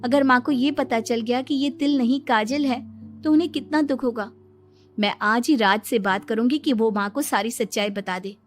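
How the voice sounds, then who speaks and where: High-voiced earnest young bride played by a woman. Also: moderate pace, faint music behind, lightly acted. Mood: worried. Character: gentle, honest young bride, troubled by lying.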